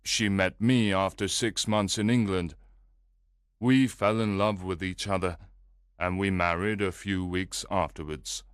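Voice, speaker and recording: Anxious earnest visitor, read by a man, lowish and steady, dry close mic. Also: measured pace, clear, resonant tone, no echo.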